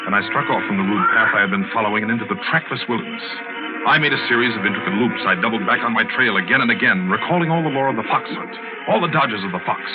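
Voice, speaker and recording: A man's voice: on the low side, quick pace, natural voice. An actor playing a desperate, defiant hunted man with intense, dramatic delivery in 1940s radio drama style. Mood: tense, determined. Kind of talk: 1940s radio acting and first-person dramatic narration. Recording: muffled vintage radio recording, loud music.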